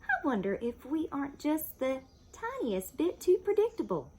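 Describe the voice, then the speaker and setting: A woman voicing a sweet, doting, excitable wife in a storybook, gushing and tender. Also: high voice, normal speed, pitched above the performer's own voice. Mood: wistful.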